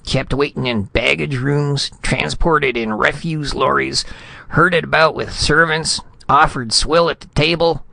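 A man's voice: mid-range voice, lively intonation, moderate pace, gravelly, sharp tone, dry recording, pitched above the performer's own voice, heavily acted. A man voicing a pompous, choleric senior ambassador in a storybook reading, blustering and sputtering in formal phrases. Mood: outraged.

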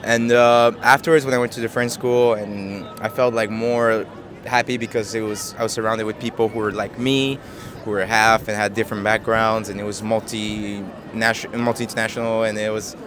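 A man in his twenties from Japan, answering street interview questions in a soft, hesitant way with many fillers and easy laughs, into a handheld mic outdoors. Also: on the low side, fairly even intonation, moderate pace. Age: 20s. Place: busy city square outdoors. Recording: busy street crowd behind.

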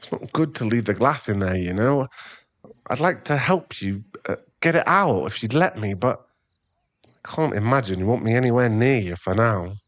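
A man playing a remorseful, tender boyfriend and expectant father in an intimate ASMR roleplay, speaking softly in halting, stammered phrases. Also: mid-range voice, lively intonation, no echo, close to an everyday voice. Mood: guilty, pleading. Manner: halting, stammering, soft-spoken apology.

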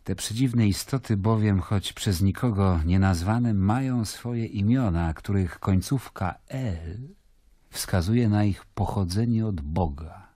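An actor playing a weary Roman governor of Judea, narrating in a measured, reflective, ironic way in a radio drama. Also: male voice, fairly low pitch, dark tone, no room sound, studio quality.

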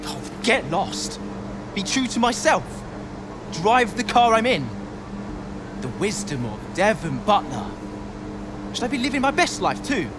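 Indignant young male driver, high and animated, sarcastic, video game cutscene with car noise. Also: measured pace, long pauses. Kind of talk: cinematic video game dialogue. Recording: clear car and air-conditioning noise.

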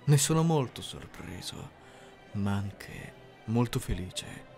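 A man playing an earnest, socially awkward high-school boy in an anime-style roleplay, deadpan, literal and hesitant. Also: slow, vocal fry, heavily acted. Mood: pensive, awkward. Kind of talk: scripted anime fan-dub roleplay. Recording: music behind the voice.

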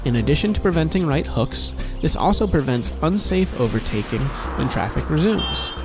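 A man reading a scripted instructional safety narration in an even, instructive manner. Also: on the high side, normal speed, music in the background. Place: voice-over over animation with background music.

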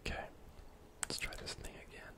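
A young man doing whispered ASMR game commentary in a breathy, unvoiced voice, murmuring to himself as he plays indoors. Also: brisk. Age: young adult. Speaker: gaming streamer. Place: indoor room at home.

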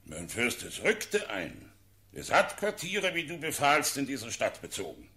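An actor playing a loyal, blunt old cavalry colonel in a classical verse radio drama, deferential yet declamatory. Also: male voice, mid-range voice, wildly swooping pitch, measured pace, vocal fry, sharp tone, exaggerated voice. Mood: respectful. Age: older.

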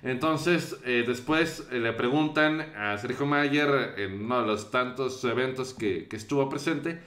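A man's voice: medium pitch, unhurried, a bit bright. Young Mexican man commenting, roomy echo. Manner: hesitant, many fillers. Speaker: show host. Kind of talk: commentary over news clips. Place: small bedroom, indoors.

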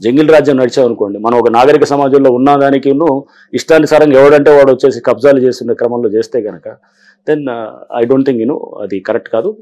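Official, 50s, fast and explanatory, office interview, lapel mic. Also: male voice, mid-range voice, dark tone. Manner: explanatory, mixes English phrases, frequent "see". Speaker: government official. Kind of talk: interview answers. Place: office, indoors.

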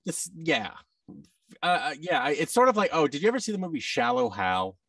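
A man's voice: a little high, a little fast, dry recording, recorded from a distance. A man in his thirties chatting casually as an interview guest, animatedly acting out exchanges as he talks. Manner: animated, acts out quoted exchanges. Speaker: podcast guest, audio drama creator. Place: indoor room, video call. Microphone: boom-arm microphone with headset.

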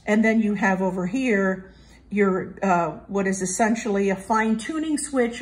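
A woman giving a casual hands-on product review, talking in a measured, explanatory way. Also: mid-range voice.

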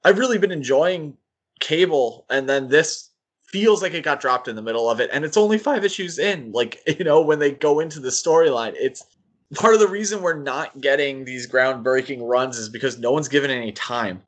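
A man co-hosting a podcast, giving casual critical commentary in a halting, thinking-aloud way. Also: mid-range voice, slightly rough. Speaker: podcast co-host. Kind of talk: casual critical comics discussion.

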